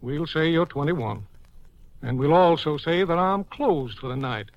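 Higher-voiced, gruff American shopkeeper. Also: male voice, expressive, unhurried, muffled recording. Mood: gruff, wary. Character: gruff, stubborn male liquor store owner. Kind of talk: 1950s radio crime drama acting. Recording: noisy old radio recording.

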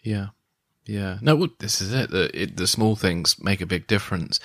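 A man's voice: low voice, moderate pace, fairly bright tone, no echo. A man hosting a conversational interview, putting questions to his guest. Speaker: interviewer, host.

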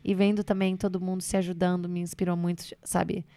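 A woman's voice: on the low side, flat delivery, brisk, mellow. Chatty Brazilian woman comedian. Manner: loose and chatty, many fillers, mixes in English words. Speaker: stand-up comedian, guest. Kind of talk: casual interview chat.

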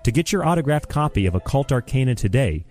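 A man reading a scripted promo in a measured, formal way. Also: mid-range voice, big pitch swings, darkish tone, faint music behind. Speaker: radio ad announcer.

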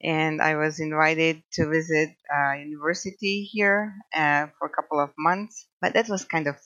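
A woman's voice: low voice, steady tone, normal speed, a bit bright, lo-fi sound. A woman from Croatia with a Croatian accent, a podcast guest talking casually with many fillers and restarts. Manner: hesitant, many fillers and restarts, laughs often. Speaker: podcast guest, scientist and nature photographer. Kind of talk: casual interview talk.

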